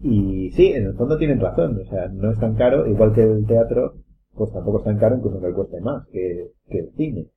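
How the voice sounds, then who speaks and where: A man's voice: on the low side, normal speed. A man with a Castilian Spanish accent in casual podcast chat among friends, rambling and hesitant, on a dull, noisy lo-fi recording. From Spain. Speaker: podcast panelist.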